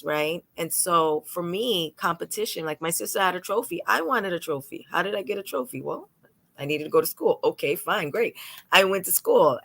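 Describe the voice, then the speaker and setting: A woman's voice: fairly low pitch, creaky. A woman lawyer from New York speaking on a panel, telling stories animatedly and acting out what people said. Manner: animated storytelling, acts out quotes. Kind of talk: panel discussion.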